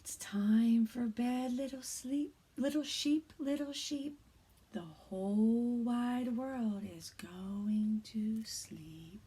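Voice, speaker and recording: A woman teacher in her thirties reads a bedtime board book to kindergarteners, gentle and encouraging. She speaks slowly, in an unhurried way. Her voice is husky and breathy, and it often sinks into a hush. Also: on the high side. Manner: soft, hushed reading, often whispering; gentle and encouraging to young children. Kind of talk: read-aloud of a bedtime picture book.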